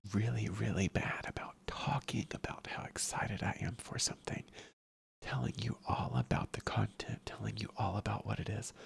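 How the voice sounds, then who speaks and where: ASMR host for casual chat: heavily fried, very husky, hushed delivery, rambling and repetitive, close to the mic. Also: mid-range voice. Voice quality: breathy whisper, slipping into soft-spoken murmur. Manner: hushed, rambling, repeats phrases. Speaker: ASMR streamer chatting with viewers.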